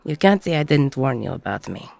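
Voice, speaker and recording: A woman playing a weary, gruff, middle-aged ex-con bartender, husky and breathy, blunt and self-deprecating, in an intimate audio roleplay. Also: rumbling low, very animated, fairly quick, darkish tone, no echo, soft background music, full character voice. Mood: reluctantly softening.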